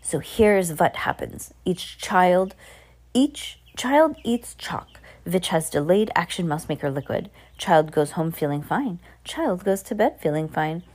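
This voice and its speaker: A woman's voice: on the low side, animated, measured pace, a little mellow. Woman acting a boastful evil witch villainess. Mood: commanding, scheming. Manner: broken grammar, boastful, commanding. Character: gloating evil grand witch, broken-English villainess.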